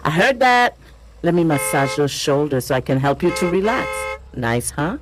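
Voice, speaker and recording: A woman's voice: sits low, very animated, normal speed. A woman playing a domineering, leering middle-aged female pageant coach in a radio drama, pushy and scolding. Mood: manipulative, controlling.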